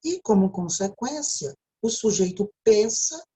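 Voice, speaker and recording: A woman's voice: mid-range voice, expressive, unhurried, dark tone. A woman with a Brazilian Portuguese accent gives a recorded video lecture, explaining step by step and often checking in with a tag question. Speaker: teacher.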